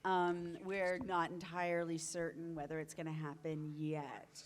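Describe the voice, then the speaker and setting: A woman's voice: low-pitched, level tone, unhurried, a little hiss or hum. A woman bantering casually as a panelist, fielding questions in a large room.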